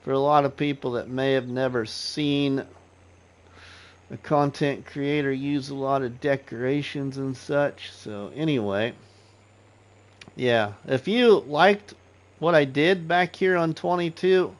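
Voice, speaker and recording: A man hosting a casual gaming livestream, chatting to viewers in a relaxed, rambling way with fillers. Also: mid-range voice, takes it slowly. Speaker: livestream host.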